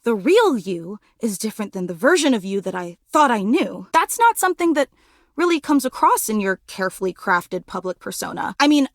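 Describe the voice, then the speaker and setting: A young woman voicing an excitable, starstruck female fan character in a video game, with dramatic, gushing delivery and casual youthful phrasing. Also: medium pitch, brisk, dry recording, clean studio sound. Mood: hurt, disillusioned. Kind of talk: video game voice acting, romance dialogue.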